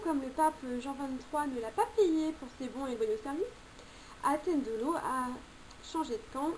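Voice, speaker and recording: A woman with a high voice explains tarot cards in a relaxed, conversational way. She hesitates often, restarts phrases and throws in small joking asides. A faint hiss of noise sits under the recording. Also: poor audio. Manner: chatty, many hesitations and self-corrections, jokes aside. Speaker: tarot commentator. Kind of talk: informal spoken commentary on tarot cards.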